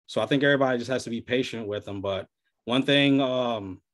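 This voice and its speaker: A young man talking casually on a video call from a small room, relaxed and loose, filling his talk with "you know". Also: medium pitch, fairly quick. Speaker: podcast panelist. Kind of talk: casual chat. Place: small room at home, indoors. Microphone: webcam on video call.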